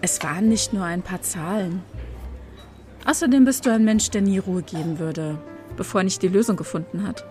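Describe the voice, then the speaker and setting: A woman's voice: mid-range voice, moderate pace, a little mellow, faint music behind, close to an everyday voice. A woman playing a reassuring, insistent friend in a science fiction audio drama, with clinking dishes behind.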